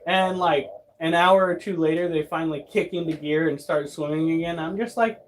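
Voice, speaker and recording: A man's voice: fairly high pitch, moderate pace, slight background noise, poor audio. A man in his thirties hosting a pet channel, talking casually and bluntly, breaking into profane rants. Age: 30s. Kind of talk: casual monologue.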